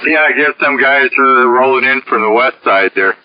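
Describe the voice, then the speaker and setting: A man with a medium-pitched voice chats informally on a two-way radio net. The signal carries clear hiss and noise. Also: moderate pace, muffled recording. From United States. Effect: sideband radio transmission. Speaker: amateur radio operator. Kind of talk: casual radio chat. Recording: noisy sideband radio with hiss.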